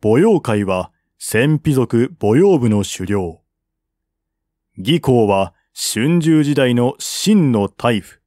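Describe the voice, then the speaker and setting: A man reading a historical novel aloud as scripted narration, in a formal, measured literary manner. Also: medium pitch, dark tone, no echo, clean studio sound. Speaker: audiobook reader.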